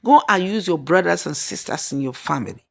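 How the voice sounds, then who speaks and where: A woman's voice: fairly low pitch, lively intonation, fairly quick, vocal fry. Stern older mother-in-law for a folktale, woman performer, fiercely protective of her son, emphatic and scolding. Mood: angry scolding.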